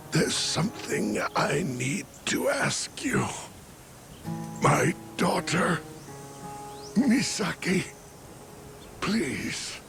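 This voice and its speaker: An actor playing a dying treasure hunter father, wistful and tender, with a breathy, halting delivery in a dramatic game cutscene. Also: a little high, full character voice. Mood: pleading, tender. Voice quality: breathy, gravelly. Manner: halting, labored speech. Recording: music under the voice.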